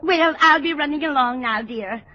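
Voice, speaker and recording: A woman's voice: sits high, moderate pace, close to an everyday voice. An actress playing a sweet, forgetful elderly landlady in an old-time radio comedy, kindly and dithering, often losing her thread. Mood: absent-minded, affectionate. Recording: dull, faintly noisy vintage radio recording.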